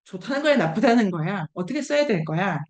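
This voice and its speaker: A woman's voice: medium pitch, animated, fast talker. A woman giving a conversational humanities lecture, acting out quoted remarks as she explains.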